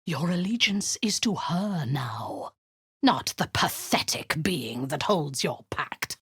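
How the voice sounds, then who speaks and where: A woman playing a cruel, haughty drow cult commander in a video game, with a breathy, husky voice and a commanding, menacing manner. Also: sits low, very animated, slow pace, a bit bright, exaggerated voice. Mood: low, menacing. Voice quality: breathy, husky, often dropping to a whisper. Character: imperious, cruel female drow cult commander, seductive and zealous.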